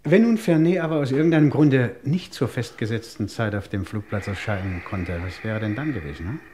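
An actor playing a stern, exasperated police inspector, brusque and insistent, in an old German radio crime drama. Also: male voice, medium pitch, animated, a little fast, darkish tone, a little hiss or hum, lightly acted. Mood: probing.

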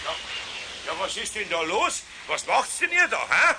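An actor playing a fatherly master carpenter with a Bavarian accent and a creaky voice in a children's radio play. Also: sits high, sing-song, normal speed, exaggerated voice. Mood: puzzled, demanding. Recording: noisy 1970s radio play scene.

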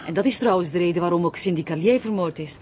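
An actress playing a guarded, composed intelligence agent with a Flemish accent, evasive and slightly condescending. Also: female voice, on the low side, fast talker, a little mellow, slight background noise, lightly acted. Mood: explaining. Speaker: secret service agent. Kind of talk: television crime drama. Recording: dull lo-fi TV audio with faint ambience.

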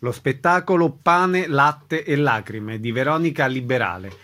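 A male radio announcer reads a script in a medium-pitched voice. Music plays clearly behind him. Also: measured pace.

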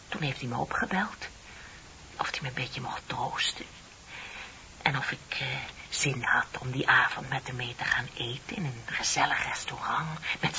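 An actress playing a heartbroken, ashamed young woman confessing in a radio play, dramatic and drifting into breathy near-whispers. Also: low-pitched, pushed and bright. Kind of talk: Dutch radio play acting. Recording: muffled radio play recording with tape hiss.

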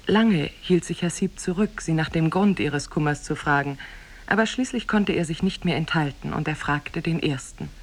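An actress narrating an Arabian Nights tale in a measured, formal storytelling manner for a 1950s German radio play. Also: female voice, low voice.

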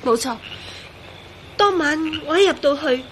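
A woman's voice: sits high, expressive, a little slow, a bit bright, a little hiss or hum. An actress playing a plucky, earnest young woman in a radio drama. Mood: frank recounting. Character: plucky young woman searching for her fiancé. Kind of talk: Cantonese radio drama acting.

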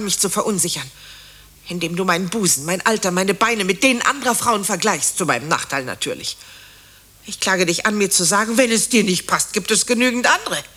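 An actress in a radio drama playing a bitter, accusing wife who speaks in an emphatic, declamatory way. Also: female voice, mid-range voice, pushed and bright, breathy edge. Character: bitter, accusing wife Simone, fed up with her husband.

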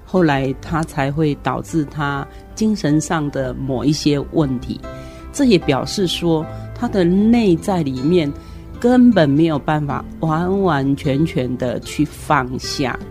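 Low-key middle-aged Taiwanese woman, music behind. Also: takes it slowly, a little mellow. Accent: Taiwanese Mandarin. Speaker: guest commentator, educator. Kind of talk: reflective discussion on a radio programme.

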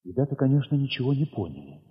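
A middle-aged man reading a first-person story as expressive, reflective dramatic narration, with weighty pauses and a husky voice. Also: medium pitch. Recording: muffled, long reverberant hall sound.

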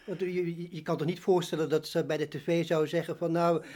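A man interviewing someone informally, haltingly repeating words as he forms his questions. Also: on the high side, little pitch movement, darkish tone.

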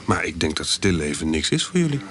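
An actor playing a sly, shabby swindler in a Dutch radio play, wry and persuasive with a slightly hesitant delivery. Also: male voice, very deep voice, sing-song, brisk, a bit bright, heavily acted. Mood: wry, scheming. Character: sly, shabby male swindler pitching a shady trade. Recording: noisy radio play recording.

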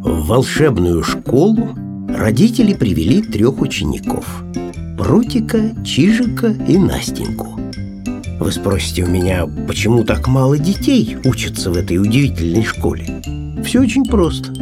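A man narrates a children's story in an animated, scripted audiobook style. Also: medium pitch, moderate pace, over loud music.

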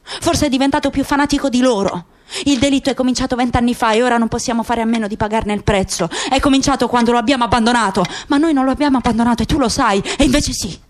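A woman's voice: on the high side, fast, close to an everyday voice. Woman acting a bitter, wounded middle-aged father. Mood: anguished, then accusing. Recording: faint background noise.

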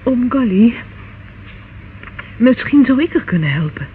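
Lively, insistent young woman, thin old recording. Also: on the high side, measured pace. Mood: alarmed, curious. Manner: questioning, insistent, earnest. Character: anxious, headstrong young woman kept in hiding. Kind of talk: radio drama acting. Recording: phone-like, noisy old radio play recording.

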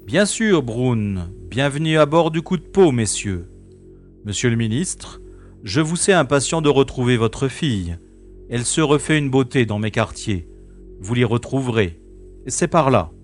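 A man's voice: medium pitch, animated, measured pace, soft background music, lightly acted. A man playing a cool-headed, sardonic special agent in a French-style science-fiction audio drama. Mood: courteous. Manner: sardonic, flippant, unflappable.

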